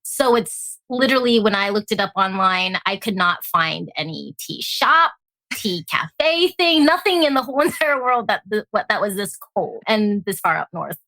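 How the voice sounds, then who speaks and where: A woman raised in Alaska and born in Hong Kong, a tea company founder chatting as a guest in an interview, lively and laughing often. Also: mid-range voice, normal speed, no room sound. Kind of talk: casual interview chat.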